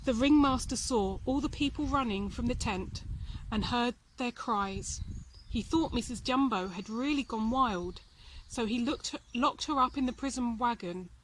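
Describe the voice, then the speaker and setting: A woman reading a children's storybook aloud in an expressive narrating style. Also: on the high side. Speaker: volunteer storybook reader.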